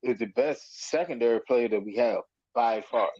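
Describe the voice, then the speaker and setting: A man's voice: medium pitch, moderate pace, darkish tone, no echo, lo-fi sound. A man in casual, salty sports fan chat, speaking emphatically and repeating himself to make his point. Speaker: sports talk panelist.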